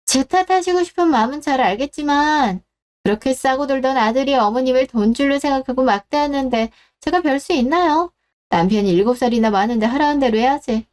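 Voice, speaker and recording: A scheming daughter-in-law in her thirties talks with mocking condescension under her politeness, voiced by a woman for a radio drama. Her voice sits high. She sounds sure of herself and openly scornful. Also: brisk, darkish tone, close to an everyday voice. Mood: smug, mocking.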